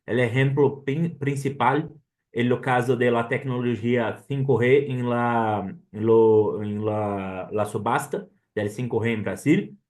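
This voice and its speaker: A man's voice: medium pitch, a little slow, darkish tone. Brazilian man speaking Spanish with Portuguese traces. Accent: Brazilian Portuguese, with some Colombian Spanish. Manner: hesitant, frequent "ah" fillers, mixes in Portuguese. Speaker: seminar speaker, professor. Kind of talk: academic conference presentation.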